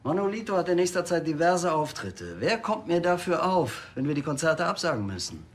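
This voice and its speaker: Ein Schauspieler spielt einen jovialen Musikmanager Anfang fünfzig, kumpelhaft und verkäuferisch. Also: male voice, a little high, sing-song, brisk. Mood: slick, businesslike. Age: 50s. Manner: jovial, salesy. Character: smooth, chummy music manager in his early fifties. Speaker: artist manager.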